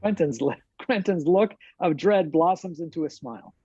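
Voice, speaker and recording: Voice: medium pitch, normal speed, dark tone, husky, no echo. Muffled, dry narrator reading stage directions. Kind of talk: scripted narration at a table read. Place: online video-call table read. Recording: muffled, dull video-call audio.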